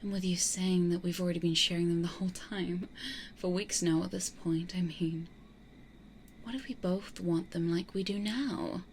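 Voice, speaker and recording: A woman acts out a vampire lady for an ASMR roleplay. She is possessive and stubborn, with a lowish, hushed, dry delivery and a faint hiss behind her. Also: steady tone, normal speed, lightly acted. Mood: hesitant, wary.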